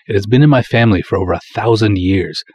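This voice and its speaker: A man's voice: on the low side, quick pace, a little mellow, slightly rough, dry recording. A man voicing a formal, haughty, secretive Egyptian doctor with stilted, courteous, ornate speech in a dramatic story reading. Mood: grave, pleading.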